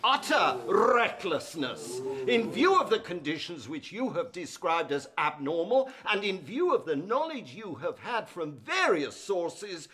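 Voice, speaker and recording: A formal, accusatory union barrister at a shipwreck inquiry in a radio drama. His voice sits fairly high and swings widely in pitch. He is dogged and insistent, pressing every point. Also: big pitch swings, a little slow, hardly any pauses, a crowd in the background. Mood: accusing. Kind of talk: courtroom radio drama acting.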